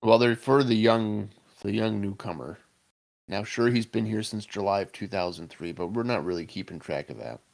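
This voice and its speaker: A man co-hosting a podcast, reacting to an old wrestling match with excitable jokes and casual swearing. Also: lowish voice, normal speed, gravelly. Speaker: podcast co-host. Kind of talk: casual wrestling commentary chat.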